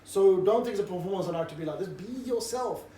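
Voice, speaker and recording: A middle-aged man, giving a relaxed, jovial briefing to a group and mixing in cheeky banter. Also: high voice, quick pace. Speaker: street preaching trainer. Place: indoor room with a group. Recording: roomy echo, distant mic, faint background noise.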